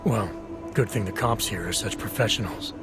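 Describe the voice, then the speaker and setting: An actor playing a terse, skeptical detective in a video game, probing in a clipped, dry, challenging manner. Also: male voice, lowish voice, normal speed, close to an everyday voice. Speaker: Interpol investigator. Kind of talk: video game cutscene dialogue. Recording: background music under dialogue.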